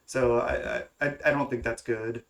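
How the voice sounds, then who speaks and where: Young man, stuffy nose, hesitant, lots of ums, casual game commentary, average pitch. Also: steady tone, moderate pace, gravelly. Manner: thinking aloud, hesitant with many fillers and restarts. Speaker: gaming content creator. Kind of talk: casual play-by-play of a card game.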